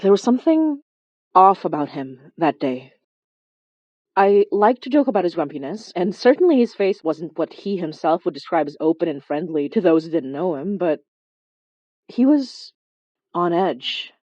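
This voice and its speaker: An actress playing a compassionate supernatural investigator, narrating a horror audio drama in an introspective, wryly understated way. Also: female voice, fairly low pitch, very animated, normal speed, a little mellow, no room sound, lo-fi sound. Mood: somber, intent. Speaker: narrator and lead character.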